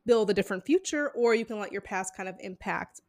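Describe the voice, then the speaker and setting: A woman's voice: medium pitch, lively intonation, fairly quick, a little mellow. A woman hosting a podcast, asking questions in a thoughtful, loosely phrased way with many fillers. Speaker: podcast host. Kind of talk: conversational interview.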